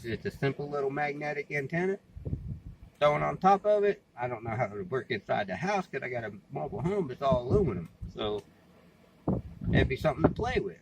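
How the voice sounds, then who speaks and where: A man's voice: medium pitch, sing-song, brisk. An American man in casual, rambling vlog talk, plain-spoken and folksy, often repeating his phrases. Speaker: vlogger and CB radio hobbyist. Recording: clearly noisy background, amateur recording.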